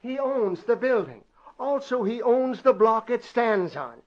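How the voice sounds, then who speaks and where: An actor playing a wisecracking, worried newspaper city editor, slangy and blustery in old-time radio drama style. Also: male voice, very high voice, lively intonation, a little slow. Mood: worried, pleading. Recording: dull vintage radio broadcast.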